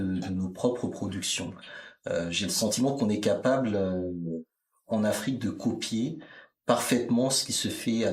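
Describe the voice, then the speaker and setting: A man from Benin, a guest in a casual discussion, who speaks in a measured, reflective way with many fillers. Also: fairly low pitch. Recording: roomy echo.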